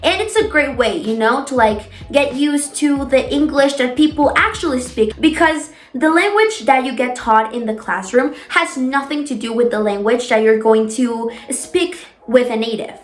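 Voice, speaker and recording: A young woman whose native language is Spanish, talking casually as a vlogger giving tips, stressing points with drawn-out words and repetition. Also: high-pitched. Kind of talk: casual talk to camera. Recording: echoey room.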